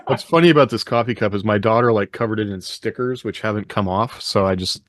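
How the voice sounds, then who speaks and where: A man's voice: fairly low pitch, fast, fairly bright tone, dry recording. A man hosting a podcast in a casual, chatty panel style. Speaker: podcast host.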